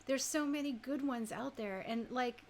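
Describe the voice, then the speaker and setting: High-voiced woman DJ chatting casually. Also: moderate pace, a little hiss or hum. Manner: conversational, rhetorical questions and frequent tag questions. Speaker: radio DJ and host. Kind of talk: casual music chat to live listeners.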